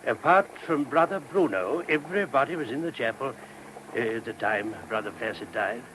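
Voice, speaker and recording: Attore che fa un monaco esitante, vecchia radio rumorosa. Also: male voice, medium pitch, animated, a bit bright, lightly acted. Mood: troubled, earnest. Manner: hesitant, apologetic, halting. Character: anxious, courteous monk guiding a police inspector. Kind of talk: radio play acting. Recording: noisy old radio recording.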